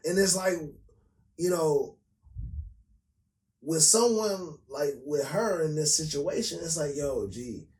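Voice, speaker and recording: An American man with a raspy voice co-hosting a podcast in casual, slangy, profane, opinionated chat. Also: a little high, lively intonation, slow, long pauses, a little mellow. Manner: slangy, profane, repetitive emphasis. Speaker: podcast co-host. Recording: roomy echo, distant from mic.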